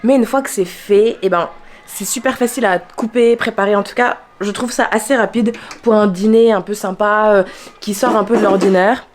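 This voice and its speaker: A woman's voice: mid-range voice, fairly even intonation, normal speed, slight background noise. Chatty French woman vlogging at home. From France. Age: 30s. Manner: chatty, laughing, many fillers. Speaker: vlogger and chef. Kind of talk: casual vlog chat.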